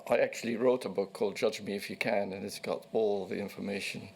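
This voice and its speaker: An older man in his sixties, originally from Egypt, with a low, gravelly voice. He gives formal testimony in a measured way, with small restarts as he recounts his past. A faint hiss sits behind him. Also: steady tone. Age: 60s. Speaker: witness.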